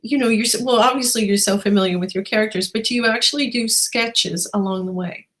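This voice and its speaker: A woman's voice: medium pitch, fairly quick, a bit bright. A woman hosting a casual, conversational interview, engaged and affirming as she asks and responds. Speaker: interviewer, host.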